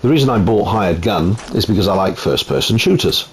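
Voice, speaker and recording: Italian man, lowish voice, relaxed game review at home, faint room hum. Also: a little fast. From Italy. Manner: relaxed, chatty, frequent ums. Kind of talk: casual gameplay commentary.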